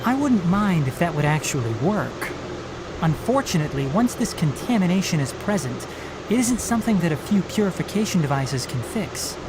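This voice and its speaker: A man voicing a calm, clever young forest ranger in a video game, measured and precise. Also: high-pitched. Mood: explaining. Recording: game audio with noise.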